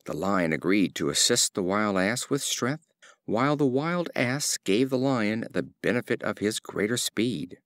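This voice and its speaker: A man narrating fables as an audiobook reader, in an expressive storytelling style. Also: on the low side, no echo, clean studio sound.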